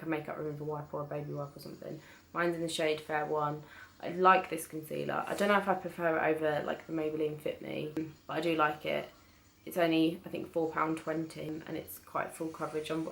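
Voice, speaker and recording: Low, flat-voiced British woman chatting. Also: gravelly. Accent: British English. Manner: chatty, hesitant with restarts and "sort of". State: croaky from illness. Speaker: beauty vlogger. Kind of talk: casual product haul chat.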